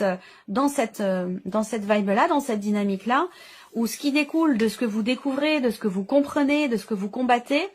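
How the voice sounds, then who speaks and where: A woman with a fairly high voice reads tarot cards aloud for her viewers. She improvises as she goes, searching for words and rephrasing each idea in several ways. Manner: hesitant, searching phrasing with repeated enumerations. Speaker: tarot card reader and channel host. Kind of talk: intuitive tarot reading, improvised spoken commentary.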